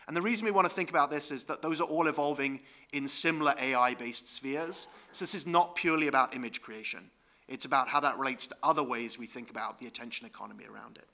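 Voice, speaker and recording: Bright-voiced man presenting at a conference. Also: mid-range voice, fast talker. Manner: explanatory, with frequent ums and tag questions. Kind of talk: conference talk with slides.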